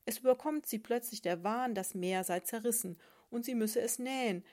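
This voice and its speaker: A woman's voice: a little high, moderate pace, darkish tone, pitched above the performer's own voice, close to an everyday voice. A woman voicing a pompous, pedantic male sponge who lectures everyone in a fairy tale. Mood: lecturing. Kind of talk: storybook reading.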